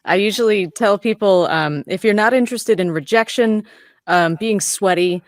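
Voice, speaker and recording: A woman's voice: mid-range voice, moderate pace, no room sound, studio quality. A woman talking informally as a voice actor in a roundtable discussion. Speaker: voice actor, panel guest.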